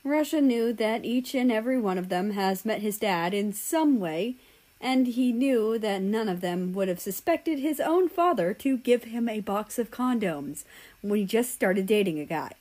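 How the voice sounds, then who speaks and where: A woman's voice: medium pitch, animated, normal speed, darkish tone. A woman narrating a story expressively, in an audiobook style. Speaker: fan fiction reader.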